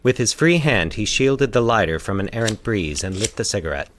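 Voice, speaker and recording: A man's voice: on the low side, expressive, a little fast, background noise. A man reading scripted fiction as the narrator of a story podcast. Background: faint atmospheric sound effects.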